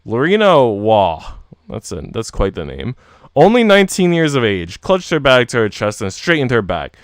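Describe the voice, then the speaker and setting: A man's voice: mid-range voice, wildly swooping pitch, moderate pace, dry recording, clean studio sound. A man live streaming in a joking, teasing, slangy way, switching between casual chat and reading story narration aloud. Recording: background music under voice. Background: music.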